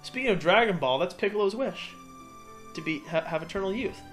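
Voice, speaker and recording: A man giving casual, excitable, jokey game commentary, sometimes slipping into mock voices. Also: high-pitched, brisk, soft background music. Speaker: Let's Play commentator. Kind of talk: casual banter over game cutscenes.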